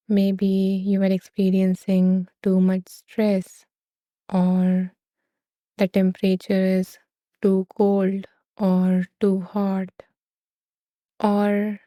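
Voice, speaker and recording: Woman, meditation guide, very dark mellow voice, even and flat, dry close sound. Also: mid-range voice, no room sound. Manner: slow, soothing, gentle pauses.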